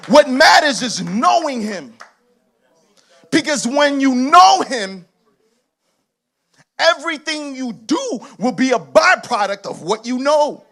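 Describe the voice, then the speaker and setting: A man with a strikingly high voice delivers a church sermon. He drives his points home with emphatic, stacked repetitions that rise to shouts, then loosens up with laughing, conversational asides. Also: sing-song, takes it slowly, vocal fry, pauses often. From Haitian heritage. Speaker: preacher.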